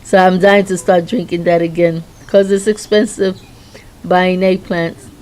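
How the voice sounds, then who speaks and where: A middle-aged woman with a hoarse voice giving a rambling, chatty garden tour outdoors, often stopping and restarting her sentences. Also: on the low side, level tone, unhurried, a little mellow. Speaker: home gardener. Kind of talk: casual garden tour vlog.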